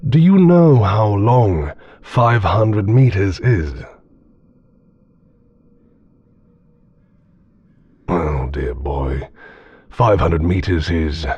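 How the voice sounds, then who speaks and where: A man playing a powerful, haughty immortal with cold menace, speaking deliberately and condescendingly with theatrical pauses in a dark fantasy audio drama. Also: low voice, husky, no room sound, lo-fi sound, exaggerated voice. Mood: cold threat.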